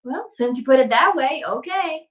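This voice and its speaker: A woman performs a scheming little girl from a 1940s-style radio comedy. She sounds pert and fairly high, wheedling like a child who knows her angle. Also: lively intonation, moderate pace. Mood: cheeky.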